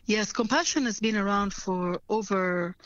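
A woman's voice: mid-range voice, normal speed, smooth, no echo. An earnest woman from Africa talks through leadership in an interview, restarting her phrases and often checking in with the listener. Her voice comes through a slightly muffled line. The sound is close and dry. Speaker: guest, nonprofit leader. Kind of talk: reflective interview answers.

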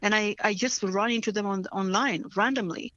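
A woman's voice: mid-range voice, normal speed, pushed and bright, no echo. Earnest woman on a dull, dry line. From Africa. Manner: earnest, frequent restarts and "right?" checks. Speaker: guest, nonprofit leader. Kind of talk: reflective interview answers.

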